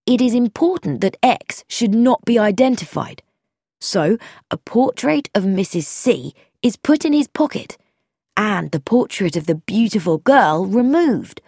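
A woman reading aloud and voicing a thoughtful, easygoing young man, speaking slowly in a plain, lowered tone. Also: big pitch swings, creaky, darkish tone, husky, no room sound, studio quality, heavily acted.

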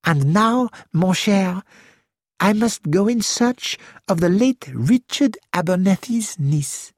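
A woman's voice: mid-range voice, animated, takes it slowly, darkish tone, no room sound, studio quality. A woman reading the part of a fussy elderly male detective with a French-flavoured manner, polite and precise. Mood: assured.

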